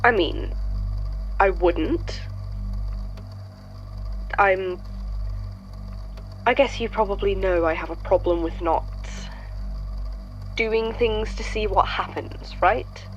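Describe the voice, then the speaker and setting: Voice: medium pitch, slow, long pauses, fairly bright tone, lo-fi sound, soft background music. An actor playing a wry, weary, blunt troublemaker in an audio drama, talking in a dry, hesitant, conversational way. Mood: hesitant, candid. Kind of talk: fan audio drama acting.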